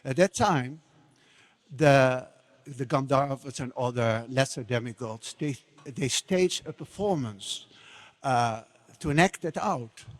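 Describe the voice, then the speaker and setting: A man's voice: mid-range voice, slow. A man introducing a devotional play, speaking in an informal address to those gathered.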